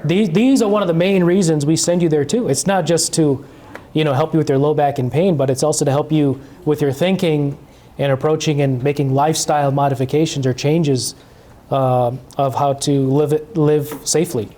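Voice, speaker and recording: Mellow-voiced man from the Chicago area. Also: fairly high pitch, fairly even intonation, moderate pace. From Chicago area, USA. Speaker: pain management doctor. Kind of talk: community talk, personal background.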